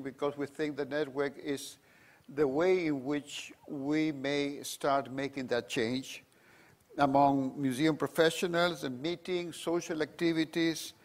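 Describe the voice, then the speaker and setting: A man with as his presents at a conference in a somewhat raised voice. He stops often, filling the gaps with ums and restarting his sentences. His English is careful and deliberate. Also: on the high side. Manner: halting, many fillers and restarts. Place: conference room.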